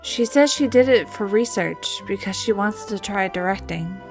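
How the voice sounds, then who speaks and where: A woman's voice: medium pitch, normal speed, a little mellow, soft background music, natural voice. A woman voicing an earnest, weary young female news reporter with a downcast manner in a game story. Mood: dejected.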